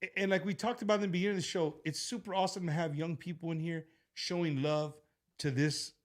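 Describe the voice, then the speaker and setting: Animated Texan man hosting a chat. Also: fairly high pitch, fast talker. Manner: animated, loose, many restarts, lots of "bro". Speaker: show host. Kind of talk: casual chat with a guest.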